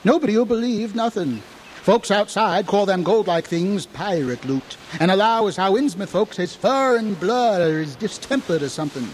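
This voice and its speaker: A man's voice: high-pitched, lively intonation, normal speed, slight background noise, low-quality recording. An actor playing a frightened, half-mad old drunken townsman in an audio horror drama, cackling and rambling in folksy dialect. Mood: conspiratorial, grim. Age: older.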